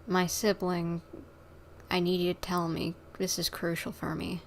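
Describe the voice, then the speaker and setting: A woman's voice: on the low side, little pitch movement, a little slow, slight background noise. A woman casually reading game dialogue and commenting during a livestream.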